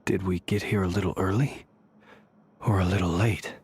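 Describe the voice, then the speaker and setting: An actor playing a calm, dry gentleman fighter in an anime-style game, polite and unhurried. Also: sits low, breathy edge, exaggerated voice.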